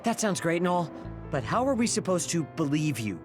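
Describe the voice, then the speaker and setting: Doubting male squad member, higher pitch, outspoken, game drama, music behind. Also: sing-song, a little fast. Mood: skeptical. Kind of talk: English video game dub acting.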